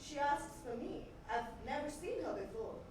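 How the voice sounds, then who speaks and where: A woman performing a theatrical monologue as a haunted, unhinged woman, speaking deliberately with dramatic pauses, in a theatre. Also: high voice, lively intonation. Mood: tense, monotonous. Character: haunted, unhinged woman recalling her mother's death. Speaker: solo stage actor. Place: indoor theatre stage. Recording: echoey theatre stage, distant mic, noisy.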